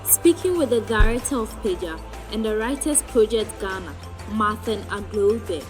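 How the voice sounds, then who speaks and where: A woman's voice: medium pitch, expressive, normal speed. A woman reporter reading a scripted recap in a polished, upbeat way. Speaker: reporter narrator. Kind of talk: scripted narration. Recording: music under voice-over. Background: music bed.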